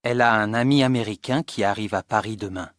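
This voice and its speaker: A man's voice: fairly low pitch, fast, a bit bright, no echo, studio quality. A man reading short language drill sentences with careful articulation. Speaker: exercise reader.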